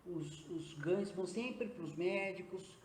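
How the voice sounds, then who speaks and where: A man in his fifties with a Brazilian accent, a nurse and acupuncturist answering interview questions earnestly, often pausing on hesitant fillers. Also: high voice, darkish tone, slight background noise. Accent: Brazilian Portuguese. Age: 50s. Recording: roomy echo.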